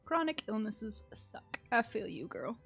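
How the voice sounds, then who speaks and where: A woman's voice: fairly high pitch, sing-song, a little slow, creaky, music in the background. A woman streaming a game with casual, chatty, giggly and playfully dramatic commentary.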